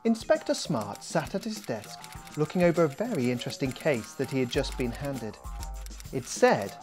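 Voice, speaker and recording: A man reading a children's mystery story expressively, as its author, with deliberate dramatic pauses. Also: medium pitch, very animated, a little mellow, soft background music.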